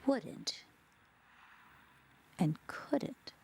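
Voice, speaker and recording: A woman's voice: very slow, a little mellow, whispered. A woman reciting poetic narration for an art film.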